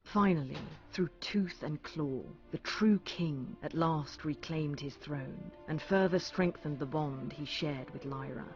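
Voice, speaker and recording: A man acting as a solemn fantasy storyteller with a lightly raised pitch. He reads in measured, storybook fashion for a game. Music plays clearly behind his slightly dull recording. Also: close to an everyday voice. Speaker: narrator. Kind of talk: scripted storybook narration.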